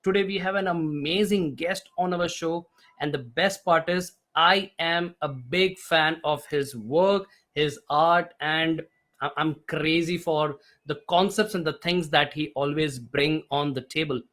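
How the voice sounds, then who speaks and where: A man's voice: a little high, a little slow, a bit bright. Eager, admiring podcast host man. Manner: eager, admiring, slightly formal phrasing. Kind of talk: podcast interview intro.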